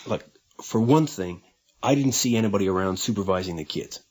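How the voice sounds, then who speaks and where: A man's voice: on the low side, animated, brisk, a little mellow, slightly rough. An actor playing an anxious, troubled American father in a radio drama, hesitant and defensive in his speech. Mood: defensive, uneasy. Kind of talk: naturalistic radio drama acting.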